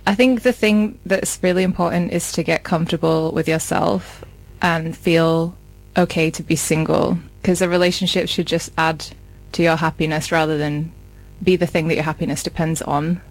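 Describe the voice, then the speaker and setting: A woman's voice: low voice, fairly even intonation, moderate pace, low-quality recording. A woman in her early thirties talking in a reassuring, casual conversational way. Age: early 30s. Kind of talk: casual chat.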